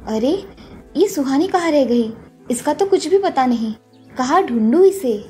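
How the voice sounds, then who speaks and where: A woman's voice: high-pitched, expressive, unhurried, darkish tone, soft background music. A woman voicing a young college student in a cartoon story, an elder sister who is curt with her sibling but protective. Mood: worried. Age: young adult. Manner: curt with her sister, anxious when worried.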